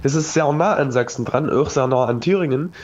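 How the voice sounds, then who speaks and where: A man from Saxony-Anhalt with a local accent, chatting in a casual, friendly way. His voice is mid-pitched. It comes through the dull sound of a phone voice message. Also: brisk, darkish tone. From Saxony-Anhalt, Germany. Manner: casual and friendly, with tag questions. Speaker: listener sending a voice message.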